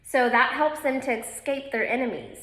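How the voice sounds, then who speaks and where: A woman's voice: high voice, normal speed, pushed and bright. A woman teacher reading aloud to children, with informal asides, addressing her young listeners directly in an instructive way. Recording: roomy, echoing indoor sound.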